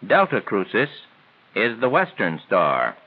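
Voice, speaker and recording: A man reads scripted 1940s training film narration in a measured, formal, instructional way. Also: on the low side, huge pitch swings, slightly rough. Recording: tinny band-limited 1940s film soundtrack.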